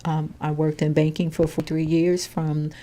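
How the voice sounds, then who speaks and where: Low-voiced older woman recalling her childhood. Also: moderate pace. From Chicago, Illinois. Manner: calm, matter-of-fact storytelling. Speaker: interviewee, grandmother. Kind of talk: oral history interview.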